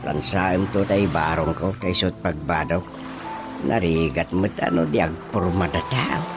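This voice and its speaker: Loud music sits under a vain, easygoing village husband. He drawls very slowly and smugly with an Ilocano accent, in radio drama comedy style. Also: deep voice. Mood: coaxing.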